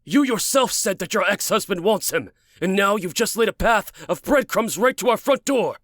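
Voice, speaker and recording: A man's voice: high-pitched, expressive, normal speed, a bit bright, dry recording, studio quality, voice pushed up. A man voicing an angry, protective, brooding man who scolds with heated intensity, as in a dramatic audiobook. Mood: furious, incredulous.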